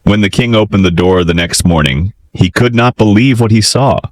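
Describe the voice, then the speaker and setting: A man narrating a fairytale as a storyteller, in an expressive, theatrical style. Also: fairly low pitch, moderate pace, no room sound, rough recording. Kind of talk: dramatic children's fairytale narration.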